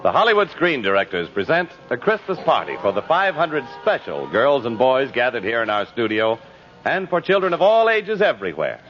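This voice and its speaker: A man's voice: mid-range voice, very animated, moderate pace. A man announcing and narrating in a polished, dramatic, formal 1950s radio style. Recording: muffled 1950s radio recording with music. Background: music.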